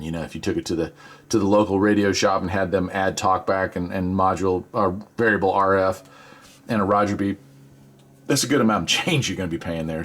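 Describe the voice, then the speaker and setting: A man with an American accent and a fairly low voice presents a hobby gadget demonstration. He talks in a casual, folksy way, as if thinking out loud while he works through it. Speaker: radio hobbyist video presenter.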